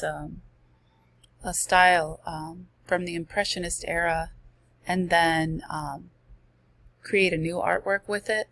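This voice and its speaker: A woman's voice: on the low side, fairly bright tone, no room sound. A woman who is losing her voice, presenting art slides in a chatty way, reading passages and adding casual asides. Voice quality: gravelly. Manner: chatty, reads passages then comments with asides.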